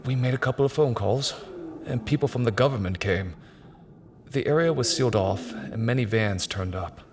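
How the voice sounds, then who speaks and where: A man's voice: medium pitch, moderate pace, background noise, lightly acted. An actor playing a grave, hesitant construction company man recalling something grim in an audio drama. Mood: sombre, haunted. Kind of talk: naturalistic audio drama dialogue, recounting in an interview.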